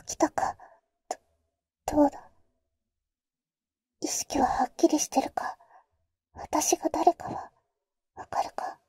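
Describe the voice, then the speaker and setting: A woman acts out a protective big-sister vampire for an ASMR audio drama. Her high voice is breathy and often drops to a whisper, with slow, halting, tsundere phrasing that is blunt yet tender. She is very close to the microphone, with a completely dry sound. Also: fairly even intonation, dark tone, dry recording. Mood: tender, anxious. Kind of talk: Japanese ASMR roleplay, intimate whispering.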